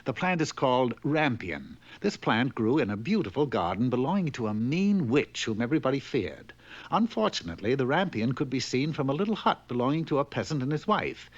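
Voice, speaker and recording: A man's voice: medium pitch, big pitch swings, a little fast. A man narrating a comic fairy tale with droll, theatrical storytelling. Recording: muffled, lo-fi cartoon soundtrack.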